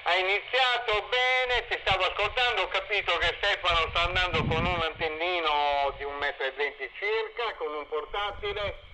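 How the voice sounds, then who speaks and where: A man's voice: high voice, normal speed, bright, forceful tone. A man from Sardinia making casual conversation as a radio hobbyist, heard through a two-way radio transmission. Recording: muffled, noisy two-way radio reception.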